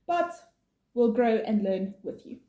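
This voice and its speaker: A woman from South Africa, not a native English speaker, co-hosting a vlog and explaining things in a steady, informative way with some fillers. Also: on the high side, unhurried, dull audio. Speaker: vlog co-host. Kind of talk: casual chat, explaining. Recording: echoey room.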